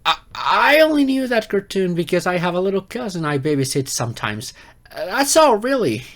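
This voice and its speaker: Man acting as awkward geeky fox guy, swooping pitch. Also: very animated, moderate pace, fairly bright tone. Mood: flustered, nervous. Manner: awkward, hesitant, self-deprecating, stammering. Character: insecure geeky adult silver fox spirit on blind date. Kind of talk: ASMR-style audio roleplay acting.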